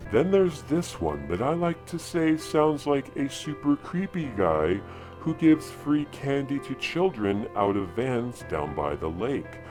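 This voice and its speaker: A man's voice: mid-range voice, sing-song, unhurried, music in the background. A man voicing a creepy, predatory man through a voice changer. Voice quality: processed. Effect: headset voice changer.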